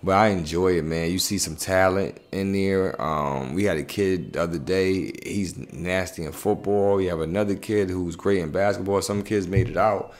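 A man's voice: low-pitched, moderate pace, hardly any pauses. A man in his thirties from Connecticut being interviewed, talking casually in colloquial anecdotes with frequent check-in phrases. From Bridgeport, Connecticut. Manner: colloquial, anecdotal, frequent 'you know what I'm saying'. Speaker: basketball coach and youth facility worker being interviewed. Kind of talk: casual podcast conversation. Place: small indoor room. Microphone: desktop condenser microphone.

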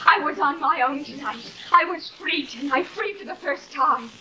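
Young defiant daughter, weary, high sharp voice, earnest stagey delivery, hissy vintage TV drama. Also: female voice, normal speed, rough recording. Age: young adult. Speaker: actress in a television horror drama. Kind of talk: theatrical 1950s live television acting. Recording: hissy old 1950s television soundtrack.

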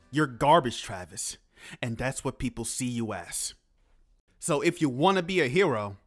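A man's voice: mid-range voice, a bit bright, lightly acted. A man voicing a bookish, earnest teenage boy in a comic dub cartoon, wordy and a bit preachy.

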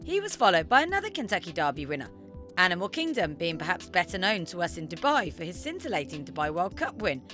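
A woman's voice: fairly low pitch, sing-song, fast talker, fairly bright tone, faint music behind. A woman in her thirties presenting and narrating a scripted television feature as a reporter.

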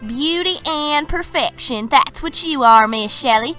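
Woman playing flattering belle, high bright voice. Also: a little slow, faint music behind, full character voice. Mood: admiring.

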